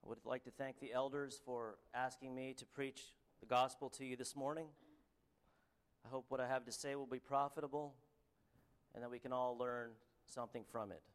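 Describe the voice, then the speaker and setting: Man, Canadian accent, preacher, mid-pitched voice, earnest, halting with ums. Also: level tone, clear, resonant tone. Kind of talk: sermon with scripture reading.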